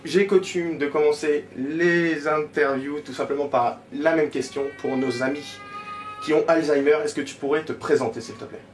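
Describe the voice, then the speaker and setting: A man's voice: a little high, steady tone, soft background music. A man hosting a casual interview in a teasing, joking manner. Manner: teasing, quick-talking. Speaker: interviewer, host.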